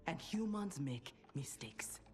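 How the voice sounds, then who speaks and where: An actress playing a proud, earnest, defensive woman fighter in a video game, speaking in a measured, formal way. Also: low voice, animated, soft background music. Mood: hurt, defensive. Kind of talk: video game cutscene acting.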